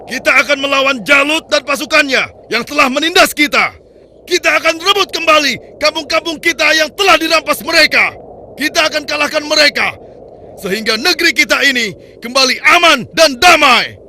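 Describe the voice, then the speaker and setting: A man's voice: very high voice, moderate pace, sharp tone, exaggerated voice. A man voicing a fervent military commander rallying his army in a declamatory way for a cartoon. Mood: rousing. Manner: declamatory, rousing. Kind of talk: Islamic cartoon dubbing, oratory.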